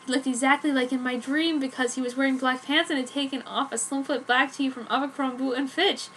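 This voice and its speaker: A woman's voice: high-pitched, steady tone, a little fast, fairly bright tone, slight background noise, rough recording. A woman giving a theatrical, mock-earnest dramatic reading of fan fiction as narrator.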